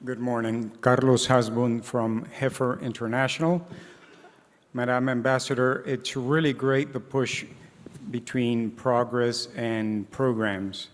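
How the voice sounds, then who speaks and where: A man with an average-pitched voice asks a courteous question, stumbling briefly over a few words. The recording carries a long reverb from a big hall. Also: steady tone. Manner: courteous, slightly halting. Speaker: audience member asking a question. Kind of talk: question from the floor. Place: large conference hall.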